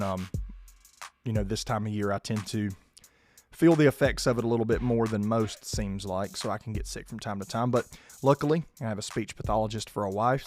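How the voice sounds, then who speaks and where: A man, hoarse and losing his voice, hosting a casual sports podcast and keeping his volume down. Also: lowish voice, a little mellow. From South Georgia, US. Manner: restrained, holding his volume down. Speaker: podcast host.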